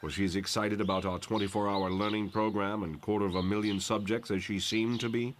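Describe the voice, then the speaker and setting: An actor playing a composed, idealistic professor who lectures in a formal, measured way in a motion comic. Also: male voice, on the low side, level tone, background noise, close to an everyday voice. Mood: calm, authoritative. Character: composed, idealistic male professor leading a mutant school. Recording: loud music behind.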